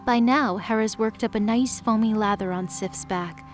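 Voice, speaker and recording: A woman's voice: fairly high pitch, moderate pace, faint music behind. A woman narrator reading scripted dramatic story narration in an audio drama.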